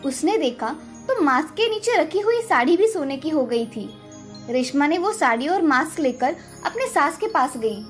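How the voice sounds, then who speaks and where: A woman delivers scripted storybook narration. Her voice is notably high-pitched. Light music plays in the background. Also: very animated, normal speed.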